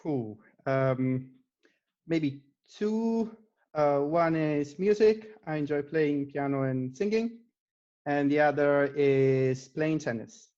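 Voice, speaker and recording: A man with an Italian accent, a native Italian speaker, speaks as an interview guest in a fairly high voice. His answers are conversational. The audio is a little dull and lo-fi. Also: lively intonation, slow pace, darkish tone. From Italy. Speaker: interview guest, data scientist.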